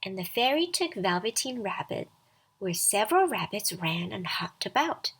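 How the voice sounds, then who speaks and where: A woman reading a scripted children's bedtime story aloud as storyteller, expressive and deliberate. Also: mid-range voice, husky, rough recording.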